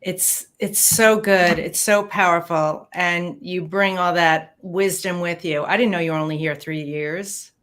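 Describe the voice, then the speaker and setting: A woman's voice: lowish voice, fairly even intonation, measured pace, few pauses, fairly bright tone. A woman hosting an interview, agreeing and adding her own views. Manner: affirming, many short agreements. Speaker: host. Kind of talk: interview conversation.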